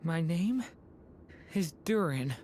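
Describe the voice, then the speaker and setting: Earnest, slow-spoken young dragon boy. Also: lowish voice, lively intonation, dark tone, slight background noise. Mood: weak, searching. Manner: earnest, halting. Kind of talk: dramatic video game voice acting.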